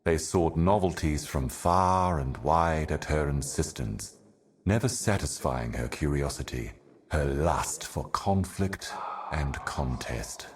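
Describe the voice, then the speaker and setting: A super deep ancient video game herald, grave and weary, slow cryptic doom monologue. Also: male voice. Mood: grave, brooding. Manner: grave, deliberate, ominous, poetic.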